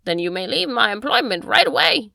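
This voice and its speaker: A woman voicing a stern, commanding aristocratic English gentleman who interrogates forcefully. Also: medium pitch, big pitch swings, a little fast, dry recording, studio quality.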